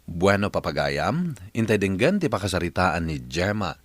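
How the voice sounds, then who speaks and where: A man's voice: sits low, very animated, normal speed, dry recording. A man with an Ilocano accent hosting a radio drama, reading out a scripted introduction and a listener's letter.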